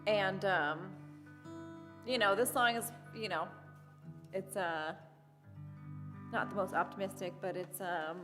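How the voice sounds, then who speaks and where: A singer-songwriter woman with a quiet voice, chatting hesitantly between songs at a live concert, full of fillers. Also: mid-range voice, slow pace, long pauses. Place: historic hall, live concert. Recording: live music hall recording with guitar.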